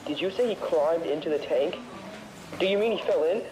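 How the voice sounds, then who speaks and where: A woman playing a calm, formal helpline operator on a call in a horror web series, measured and procedural. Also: on the low side, natural voice, low-quality recording. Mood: calm, concerned.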